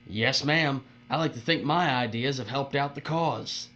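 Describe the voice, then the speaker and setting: An actor playing a folksy, boastful, bullying foreman with mocking turns of phrase in a dark dystopian audio drama. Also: medium pitch, unhurried. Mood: eagerly servile. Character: cruel, folksy, boastful bullying foreman who fawns on bosses. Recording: dull audio with faint mains hum.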